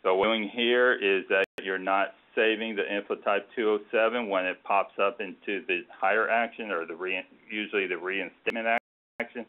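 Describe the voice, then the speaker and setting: A man presenting informally on a conference call, explaining procedures in a halting, hesitant way. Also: lowish voice, steady tone. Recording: narrowband tinny phone line.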